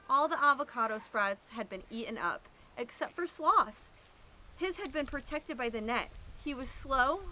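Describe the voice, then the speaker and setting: A woman reading a children's picture book aloud, with expressive, drawn-out emphasis. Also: high voice, slight background noise, poor audio. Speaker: storyteller at an animal sanctuary.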